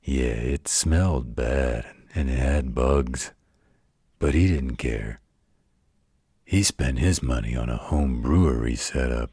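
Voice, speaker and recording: Gruff male werewolf guardian acted by a man, extremely low and raspy, tender folksy bedtime storyteller for an intimate audio roleplay. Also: dry recording, clean studio sound, exaggerated voice. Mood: folksy storytelling. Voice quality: very deep, gravelly, husky. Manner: folksy, unhurried, gently wry.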